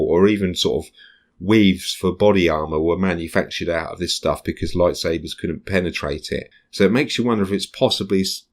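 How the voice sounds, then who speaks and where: A man with a low, deep voice hosts a relaxed fan podcast. He reads news snippets aloud, then drifts into hesitant musings full of ums. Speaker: podcast host. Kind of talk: casual chat, reading news aloud.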